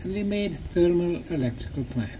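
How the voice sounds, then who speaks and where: A man, a native Bosnian speaker, giving a talk to a group in short, simple phrases with pauses for an interpreter. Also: high-pitched, animated, warm tone, a little hiss or hum, poor audio. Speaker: speaker, tour guide.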